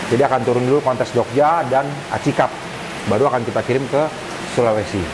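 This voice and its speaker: Casual man over clear hiss. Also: mid-range voice, fast. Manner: informal, explanatory, addresses viewers as friends. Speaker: arowana fish keeper and vlogger. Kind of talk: casual explanatory vlog talk. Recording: clear hissy background noise. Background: steady hiss.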